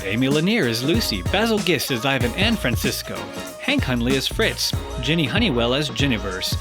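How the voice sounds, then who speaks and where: Male announcer, scripted podcast outro, medium pitch, music bed. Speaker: podcast announcer reading credits. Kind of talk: scripted narration.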